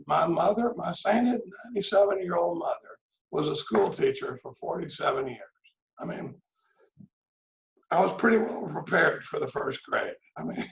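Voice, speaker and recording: A man's voice: high voice, gravelly, reverberant, rough recording. A man, sharing his story at a recovery meeting in a rambling, joking way. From Dallas, Texas. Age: 60s. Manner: joking, rambling, frequent "I mean" and "you know". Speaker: AA speaker. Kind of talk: storytelling speaker-meeting share. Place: home room on a video call.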